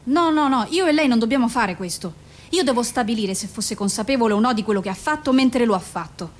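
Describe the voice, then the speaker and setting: An actress playing a measured, firm female doctor in a naturalistic radio drama, questioning in a controlled, formal and probing way. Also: on the high side, expressive.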